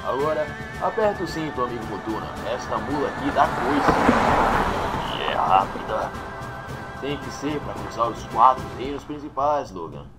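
A man's voice: mid-range voice, big pitch swings, normal speed, close to an everyday voice, rough recording. A man voicing a cocky old ex-hero in a comic-book dub, breezy and persuasive, with a Brazilian accent. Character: cocky old blind male ex-hero, eager talker. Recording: music under the voice.

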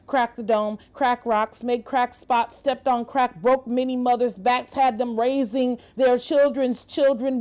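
Female spoken-word poet, slightly high voice, unhurried and deliberate, landing each phrase with emphasis. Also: a little mellow. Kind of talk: dramatic spoken-word poetry recital. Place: inside an empty streetcar.

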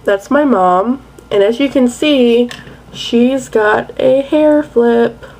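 A woman's voice: on the high side, takes it slowly, darkish tone, poor audio. A young woman chatting casually and playfully to her webcam in a bedroom at night. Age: young adult. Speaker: vlogger. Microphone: webcam at close range.

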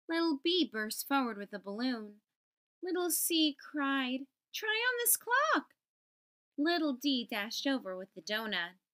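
A woman hosting storytime, reading a children's picture book aloud and enunciating deliberately for young listeners. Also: high-pitched, a bit bright. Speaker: storytime host.

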